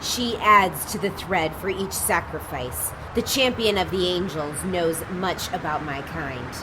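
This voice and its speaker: A woman's voice: fairly low pitch, unhurried, noisy background, close to an everyday voice. An actress playing a proud, disdainful witch who speaks in formal, cold sentences in an audio drama.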